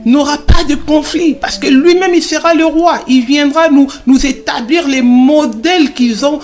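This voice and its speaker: A woman's voice: high voice, a little slow, a little mellow, soft background music. A woman playing a devout mother in a religious children's cartoon, teaching in an encouraging way and repeating phrases for emphasis. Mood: emphatic, hopeful.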